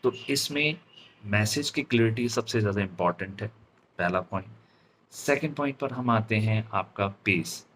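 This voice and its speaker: An Indian man giving an instructional lesson as a public speaking trainer, deliberate and stressing his key words. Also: fairly low pitch, fairly bright tone, slight background noise, poor audio. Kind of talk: instructional lesson mixing Hindi and English.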